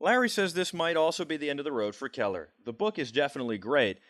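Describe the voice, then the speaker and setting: A man's voice: a little high, fast talker, fairly bright tone, dry recording. An American man narrating a scripted video report as a reporter.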